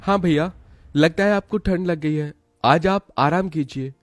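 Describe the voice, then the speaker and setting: A man's voice: fairly high pitch, measured pace, no room sound, studio quality, lightly acted. A man voicing two poor, devoted older brothers in a cartoon, speaking in an earnest, emotional way. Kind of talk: cartoon voice acting.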